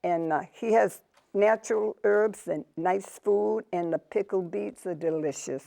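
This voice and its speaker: A woman hosting a small talk show, chatting casually and introducing her guests warmly. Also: mid-range voice, unhurried, creaky. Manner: chatty and encouraging. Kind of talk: casual interview chat.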